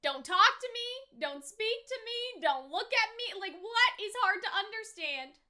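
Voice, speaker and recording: A woman reacts aloud to a show as she watches, in a very high, sharply bright voice. Her delivery is slangy and exclamatory, with a lot of melodic swing and some swearing. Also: expressive, measured pace. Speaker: reaction video host. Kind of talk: live anime reaction commentary.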